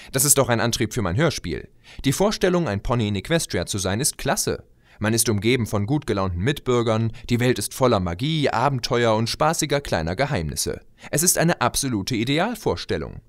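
A man delivering a scripted opinion monologue as a video blogger, conversational and expressive with rhetorical asides. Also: medium pitch, dry recording, studio quality. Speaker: video blogger and fan audio drama maker.

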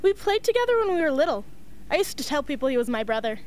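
A woman's voice: high-pitched, sing-song, fast. A woman playing a sweet, naive teenage girl in an amateur radio play. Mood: sweet, admiring.

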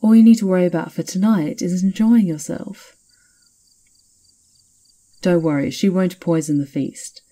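Woman playing a dark, husky, sly witch. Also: lowish voice, a little slow, pauses often. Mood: playful, teasing. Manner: teasing, confiding, theatrical. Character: sly, knowing castle witch, teasing and conspiratorial. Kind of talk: ASMR roleplay.

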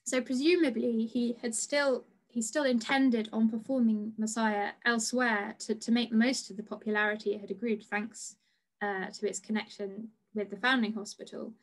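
A woman's voice: a little high, steady tone, normal speed, low-quality recording. A young woman presenting research over a video call, speaking hesitantly with many fillers and restarts. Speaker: PhD researcher giving a talk. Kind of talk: online lecture presentation. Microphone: video call webcam.

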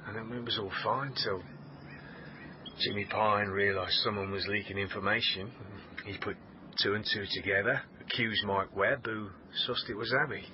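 An actor playing a weary, sardonic British police officer in a radio drama, speaking in a measured, halting way. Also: male voice, lowish voice, fairly even intonation, natural voice. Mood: weary, subdued. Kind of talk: naturalistic radio drama acting. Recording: dull radio recording, clear background noise.

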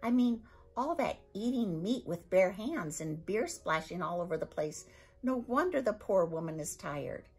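Woman doing a gentle polite Viking boy. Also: mid-range voice, animated, a little slow, husky. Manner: gentle, polite and soft-spoken.

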